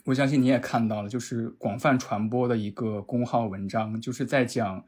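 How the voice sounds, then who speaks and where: A man's voice: medium pitch, fairly even intonation, brisk, a little mellow. A man hosting a casual, reflective conversation, explaining things through detailed anecdotes. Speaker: podcast host.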